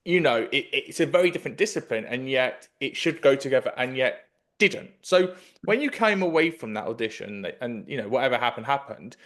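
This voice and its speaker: A man's voice: medium pitch, lively intonation, fairly quick, a bit bright. A man, interviewing conversationally as a podcast host into a desk microphone. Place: indoors in a kitchen, on a video call. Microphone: desk microphone with headphones.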